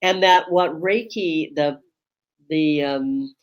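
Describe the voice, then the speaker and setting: Slow, low-pitched woman with a carrying voice. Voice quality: strong voice that carries across a room. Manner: slow, measured, pausing often with ums. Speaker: Reiki teacher hosting a webinar. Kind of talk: reflective webinar talk. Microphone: webinar microphone.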